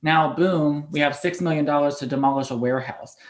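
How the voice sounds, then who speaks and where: A man's voice: on the high side, a little fast. A man hosting an activist panel, moderating the discussion and introducing speakers and questions.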